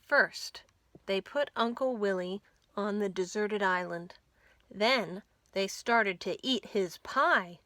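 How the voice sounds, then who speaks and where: A woman reading a picture book aloud for young children, expressive and deliberate, with dramatic emphasis. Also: mid-range voice, dry recording. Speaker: children's librarian reading storytime.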